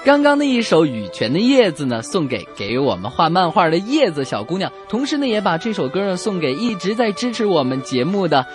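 A man's voice: high-pitched, sing-song, a little fast, few pauses, music in the background. A man hosting a radio comedy show, telling jokes and reading dedications in a theatrical storytelling manner. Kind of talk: joke telling and song dedications.

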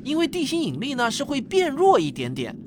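A man's voice: extremely high pitch, wildly swooping pitch, brisk, noisy background, heavily acted. A man playing a patient, doting middle-aged father in a science fiction radio drama, coaxing and explaining things step by step. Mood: instructive, lively. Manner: explains step by step, coaxing, frequent sentence-end particles. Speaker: father explaining physics to a child.

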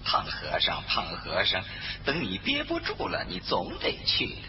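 A performer voicing a weary, lazy, grumbling monk in a children's audio story, wheedling with drawn-out sighs. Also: sits low, huge pitch swings, slight background noise, full character voice. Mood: stubborn. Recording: dull roomy recording with music behind.

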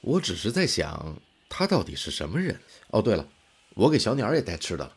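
An actor playing a casual, curious older brother in a science fiction radio drama, speaking colloquially and offhand. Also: male voice, on the low side, huge pitch swings, a little fast, slightly rough, heavily acted. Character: casual, curious man, big brother in sci-fi future.

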